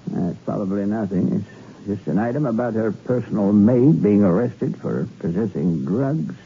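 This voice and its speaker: A narrow, phone-like old radio recording with hiss carries a wry newspaperman. His voice is fairly low. He talks in an offhand, musing, obliging way, in 1960s radio drama style. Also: animated, normal speed, husky, exaggerated voice. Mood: wry, helpful. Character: wry, obliging newspaperman digging through files. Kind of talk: 1960s radio thriller acting.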